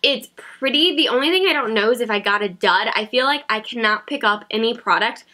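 A woman in her thirties talks to camera from her home beauty room, chatty and giggly, making fun of herself. Her voice is a bit high and bright, with a slight nasal, stuffy sound from a cold. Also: animated, fairly quick. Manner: chatty, giggly, self-mocking. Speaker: beauty vlogger. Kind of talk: casual haul chat to camera.

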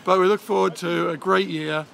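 A man's voice: high voice, fairly even intonation, normal speed, creaky, a bit bright. A middle-aged man from Australia, a professor, chatting casually. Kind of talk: casual chat. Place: outdoors in a courtyard. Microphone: handheld phone.

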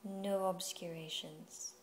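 A woman leading a guided meditation as a yoga teacher, speaking in a soothing, unhurried way with long pauses. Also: fairly low pitch, steady tone, a little hiss or hum, poor audio. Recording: music playing behind the voice. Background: music.

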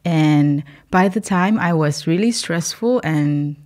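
A young woman from Myanmar, not a native English speaker, answering interview questions as a PhD student, thoughtful and laughing softly between phrases. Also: low voice, slow. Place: indoor podcast recording.

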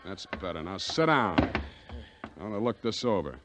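An actor playing a wisecracking, cynical private detective, terse and sardonic, in 1940s radio drama style. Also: male voice, deep voice, big pitch swings, moderate pace, over music. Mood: tough, sardonic.

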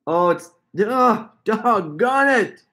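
A man in his forties with an American accent, chatting casually to a camera in a halting, half-muttering way. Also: high voice, lively intonation, bright, forceful tone. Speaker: prank caller and video host. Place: home room with wood shelves, indoors. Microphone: desk camera at arm's length.

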